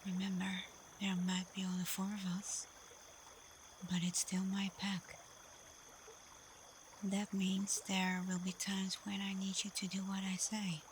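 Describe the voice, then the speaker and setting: Woman acting as alpha she-wolf, soft and breathy, slow, confident, teasing, protective, ASMR roleplay, faint ambient noise behind. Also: mid-range voice, completely flat delivery, close to an everyday voice. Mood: firm, reassuring. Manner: soft, breathy, often whispered, unhurried.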